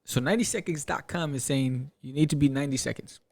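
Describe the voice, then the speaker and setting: A male podcast host with a slightly high-set voice and a strong fry in it. He talks casually on his own, rambling and animated, repeating himself and tossing questions out to the listener. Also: quick pace. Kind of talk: casual solo talk to camera or mic about crowdfunding.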